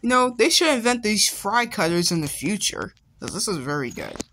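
A woman voicing an excitable, easily exasperated cartoon cat in a fandub, with a dramatic, grumbling manner. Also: mid-range voice, normal speed, slight background noise. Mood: content.

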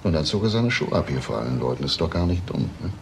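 An actor playing a shrewd police inspector in a crime drama, talking in a probing, conversational way. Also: male voice, a bass voice, very animated, fast, creaky, a little hiss or hum, exaggerated voice. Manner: conversational, probing, tag questions. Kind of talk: German crime drama acting.

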